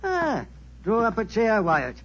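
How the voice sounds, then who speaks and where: A man playing a talkative, goading, resentful doctor with a husky voice, in 1940s radio style. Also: high-pitched, slow pace, full character voice. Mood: chatty. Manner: excitable, goading. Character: talkative, needling, resentful male doctor at a bar. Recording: muffled old 1940s radio recording.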